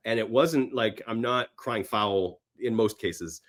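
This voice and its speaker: A man's voice: lowish voice, takes it slowly. A man being interviewed, telling stories casually in a rambling way and acting out imagined bits of dialogue. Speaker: podcast guest, screenwriter.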